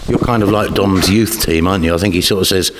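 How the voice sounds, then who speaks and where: A man's voice: sits low, fast talker, noisy background. A man interviewing a boxer, asking long multi-part leading questions. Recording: long reverb.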